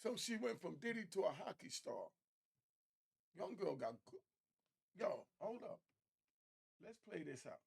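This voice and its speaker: Animated man with a creaky voice. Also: sits high, unhurried, long pauses. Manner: animated, emphatic, repeats phrases for effect. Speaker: commentary show host. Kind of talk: informal reaction commentary on celebrity news.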